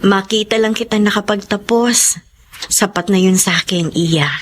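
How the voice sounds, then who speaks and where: A woman's voice: mid-range voice, normal speed, a little hiss or hum, natural voice. A woman playing a weary, long-suffering older sister and breadwinner in a Tagalog radio drama, with a heavy emotional delivery. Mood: gentle, reconciling.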